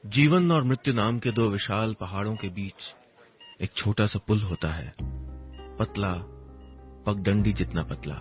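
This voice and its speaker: Wistful, low-voiced male storyteller. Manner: wistful, reflective, poetic phrasing with pauses. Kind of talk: dramatic first-person story narration. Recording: dull lo-fi audio, music underneath. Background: music.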